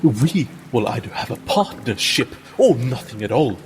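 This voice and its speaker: A man playing a tormented, defiant inquisitor in a tabletop game, speaking in halting, emphatic phrases. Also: mid-range voice, big pitch swings, music in the background, natural voice. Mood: defiant, anguished. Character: tormented, defiant male inquisitor, strained and desperate.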